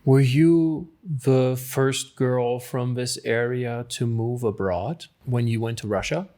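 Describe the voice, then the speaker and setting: A man conducts an interview, asking his questions at an unhurried pace. His voice sits in an ordinary middle register for a man. His delivery is calm and reflective. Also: mid-range voice.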